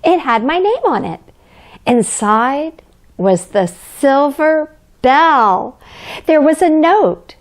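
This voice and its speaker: Woman playing Santa's kindly wife, storybook reading for children, gentle, expressive, very unhurried pace, lightly raised pitch. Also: full character voice. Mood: gentle storytelling. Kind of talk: children's storybook reading, addressing young listeners.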